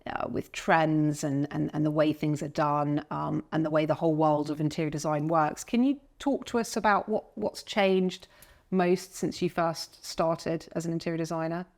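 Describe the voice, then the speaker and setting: A woman hosting a property podcast, warmly effusive with hesitant fillers, recorded in a client's house. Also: low-pitched, steady tone, normal speed. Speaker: podcast host, buying agent. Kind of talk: podcast interview.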